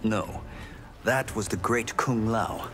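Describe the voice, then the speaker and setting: An actor playing a guarded, earnest young fighter in a video game, terse and serious. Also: male voice, lowish voice, takes it slowly, a bit bright. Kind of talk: fighting game character dialogue. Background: game music.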